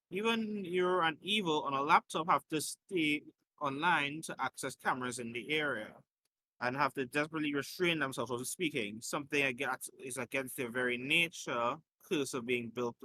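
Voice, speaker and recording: A man with a fairly high, very bright and forward voice narrates a piece of fiction. He reads informally, as the host of a readalong, and is given to stepping out of the text to comment or correct himself. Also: slight background noise, rough recording. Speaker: host reading fan fiction aloud. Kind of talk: informal read-aloud narration with asides.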